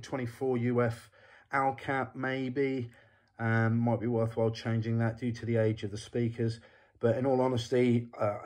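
A man in his forties talking casually and knowledgeably as a hi-fi reviewer, filmed in his living room. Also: mid-range voice. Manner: knowledgeable, chatty, expressive hand gestures.

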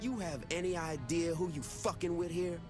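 An actor playing an aggressive, foul-mouthed gang boss, in a video game cutscene. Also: male voice, a little high, moderate pace, music in the background, close to an everyday voice. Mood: defiant.

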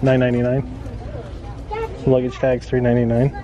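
A man's voice: mid-range voice, completely flat delivery, normal speed, dull audio, crowd noise. A man hosting a shopping vlog, chatting casually and reading out prices while inside a busy store. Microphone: handheld camera, off screen.

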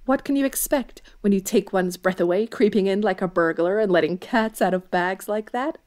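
An actress playing a spirited, blunt, affectionate young tomboy in an audio drama, exclamatory and teasing. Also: female voice, mid-range voice, moderate pace, a little mellow, lightly acted. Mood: teasing, amused.

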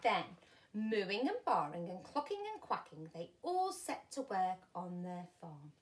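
A woman in her thirties reading a children's story like a primary teacher, expressively and with dramatic pauses. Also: on the low side. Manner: animated, deliberate, dramatic pauses. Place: small furnished room at home, indoors.

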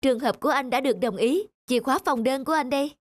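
A woman's voice: high-pitched, rapid-fire, dry recording. A woman voicing a polite, efficient young female airport clerk in a cartoon, courteous and businesslike. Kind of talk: cartoon dub.

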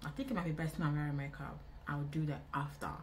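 A woman in her twenties with a Nigerian accent, chatting casually to camera in an indoor vlog, expressive and repeating phrases for emphasis. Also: low voice, fast talker, slight background noise. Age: 20s. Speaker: vlogger. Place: small indoor room.

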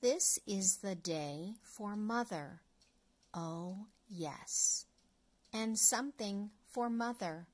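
A middle-aged woman hosts a read-along for young children. She reads very slowly and deliberately, in short separate phrases, at an ordinary pitch for a woman. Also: darkish tone. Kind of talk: read-aloud of an early reader picture book for young children.

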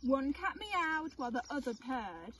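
A woman reads a children's story in a high voice at an unhurried pace. She is expressive and speaks as if to young listeners. There is clear noise behind her. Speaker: storybook reader. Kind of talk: children's story read aloud.

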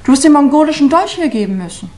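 High-voiced, needling niece. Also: female voice, big pitch swings, quick pace, dark tone. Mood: pointed. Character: lord's niece, matter-of-fact and needling. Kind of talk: 1950s German radio play acting.

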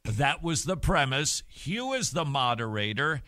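A middle-aged man hosts a studio talk show, his bright, forceful voice swinging widely in pitch as he jokes and presses his points. The sound is close and dry on a studio mic. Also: measured pace, no echo. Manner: animated, joking, emphatic. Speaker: radio and TV show host. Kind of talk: talk show commentary with Bible reading. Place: small dim studio set. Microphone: studio mic on boom arm.

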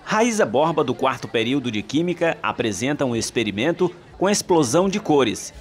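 A man from Brazil with a Brazilian Portuguese accent, reading a scripted news report in a steady, formal way. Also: medium pitch, normal speed.

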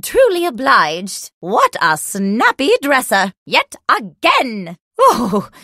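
A woman voicing an eccentric old granny witch in a video game, theatrical, doting and teasing with drawn-out exclamations. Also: on the high side, wildly swooping pitch, pushed and bright, no room sound, clean studio sound, exaggerated voice. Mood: gleeful, teasing. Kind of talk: video game character voice lines.

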